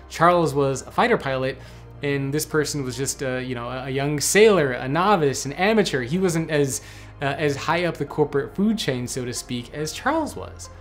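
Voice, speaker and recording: A man in his thirties hosting a leadership show, earnestly telling a story and addressing the viewer directly in a small studio. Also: medium pitch. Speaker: podcast host. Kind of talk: scripted motivational talk to camera. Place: small studio lit with neon tubes, indoors.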